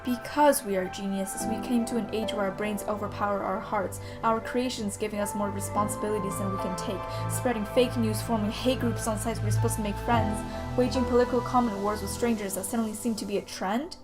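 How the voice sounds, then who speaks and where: A woman's voice: mid-range voice, fairly even intonation, brisk. A woman in her late teens from Canada recites slam poetry with an earnest, emphatic, rhythmic delivery. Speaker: student slam poet. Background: background music.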